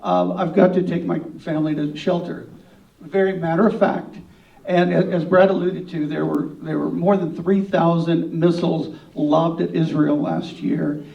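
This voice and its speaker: Earnest gravelly man in an echoey hall. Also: fairly high pitch, level tone, normal speed. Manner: earnest, personal. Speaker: congressional candidate. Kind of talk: candidate forum answer. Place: event hall. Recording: dull, echoey hall from far off.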